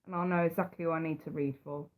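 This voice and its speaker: A woman's voice: low voice, steady tone, fast talker. A woman with a head cold, chatting on a live stream and giving tarot readings. She talks in a chatty way, repeats words and calls her viewers sweetheart and darling. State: congested with a cold. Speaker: tarot reader.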